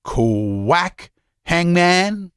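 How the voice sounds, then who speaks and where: A man voicing an agitated young male asylum patient who is bitter and scornful, shouting and laughing mockingly. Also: sits high, big pitch swings, drawn-out words, clear, resonant tone, no echo, studio quality, full character voice. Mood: furious.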